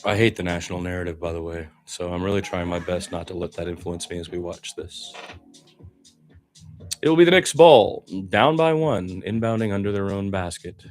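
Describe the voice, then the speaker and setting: A man's voice: low-pitched, animated, moderate pace, soft background music. A man doing improvised live basketball play-by-play as a radio commentator, adding opinionated, reflective asides between calls.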